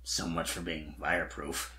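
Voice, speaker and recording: A performer voicing a gentle, awkward young male dragon from a storybook, wistful and reassuring in a low-key, hesitant way. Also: little pitch movement, normal speed.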